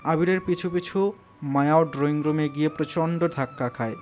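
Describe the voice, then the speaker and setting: A man's voice: fairly high pitch, normal speed, a little mellow. A man narrating a romantic audio story in a dramatic, scripted style. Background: background music.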